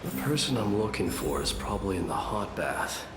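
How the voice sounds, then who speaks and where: An actor playing a grave, proud warrior crown prince in a video game, speaking in solemn, formal phrases. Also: male voice, fairly low pitch, very animated. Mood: somber. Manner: solemn, formal, measured wording. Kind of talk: dramatic video game voice acting. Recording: echoing voice under loud music. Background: loud game music.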